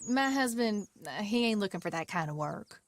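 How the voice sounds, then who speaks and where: A woman voicing a sharp-tongued frontier wife. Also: mid-range voice, expressive, normal speed, no echo, close to an everyday voice. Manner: dry, sharp-tongued, protective. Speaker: wife and mother. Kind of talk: video game cutscene acting.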